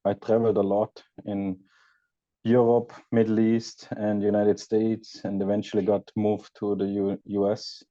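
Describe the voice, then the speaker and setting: A man from Austria with an Austrian accent, a podcast guest chatting casually and pausing to search for words. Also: lowish voice, level tone, warm tone, no echo, muffled recording. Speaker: guest, EV content creator. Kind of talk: casual interview chat.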